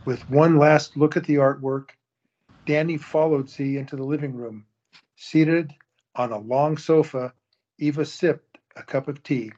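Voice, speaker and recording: A man's voice: on the high side, steady tone, unhurried, a little mellow. Man narrating on a dull lo-fi recording. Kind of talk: scripted audio-drama narration.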